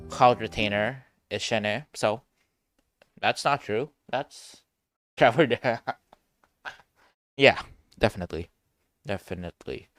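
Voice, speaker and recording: A man's voice: fairly low pitch, slow pace, pauses often, fairly bright tone, dry recording, clean studio sound, soft background music. A man doing casual live reaction commentary as a game streamer, rambling and thinking aloud.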